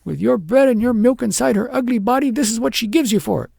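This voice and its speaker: A man's voice: extremely high pitch, fast, gravelly, a little mellow, breathy edge, no echo, clean studio sound, voice pushed up, exaggerated voice. A man voicing a short-tempered, scornful shepherdess in a storybook, brusque, bossy and indignant. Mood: outraged. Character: scolding, sharp-tongued shepherdess wife, short-tempered and scornful. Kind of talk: storybook character voice in audiobook.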